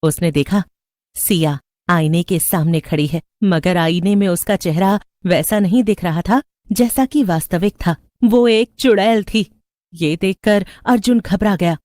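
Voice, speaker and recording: A woman's voice: lowish voice, measured pace, dark tone, no room sound, studio quality. A woman narrator reading a scripted horror story.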